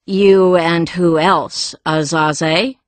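A woman's voice: lowish voice, fairly even intonation, extremely slow, no room sound. A woman playing a mean, greedy islander, scornful and smug, in a fantasy audiobook. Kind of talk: full-cast fantasy audiobook acting.

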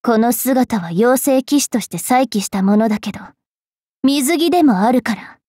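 High-pitched, haughty young fairy knight. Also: female voice, animated, warm tone, no echo, studio quality. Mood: cool, matter-of-fact. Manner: haughty, taunting, rough-mouthed, drawn-out delivery. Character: cruel, wilful young female fairy knight, sadistic yet devoted. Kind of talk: anime mobile game voice lines.

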